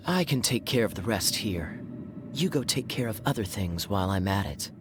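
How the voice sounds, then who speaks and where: A man playing a suave, teasing young knight captain in a video game, with a sly, smooth-talking, theatrical delivery. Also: fairly low pitch, lively intonation, normal speed, background noise, lightly acted. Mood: amused, smooth.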